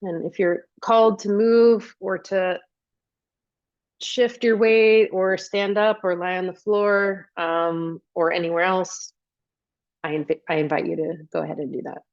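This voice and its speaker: Woman, guided meditation, low voice, close dry sound, gentle invitations, pauses between phrases. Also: steady tone, dry recording, muffled recording. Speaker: meditation guide, wellness coach.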